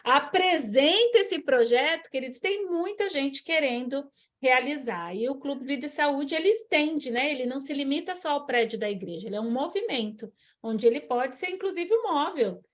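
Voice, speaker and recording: A woman's voice: sits high, animated, normal speed, few pauses. A woman with a Brazilian Portuguese accent hosting an online church health class, talking in an encouraging, preacherly way. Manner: warm encouraging preacherly, addresses listeners as queridos. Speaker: host.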